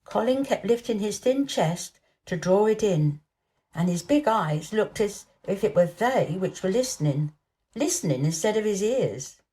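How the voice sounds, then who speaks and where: Woman, storyteller, mid-range pitch, expressive scripted narration, children's novel. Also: unhurried, slightly rough.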